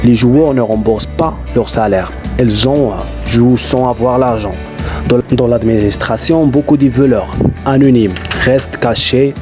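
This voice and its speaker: A man's voice: medium pitch, normal speed, music in the background. A Moroccan man whose first language is Darija, chatting casually in loose, non-native French as he vlogs and tells stories, with lots of fillers. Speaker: YouTuber. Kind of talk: casual vlog storytelling.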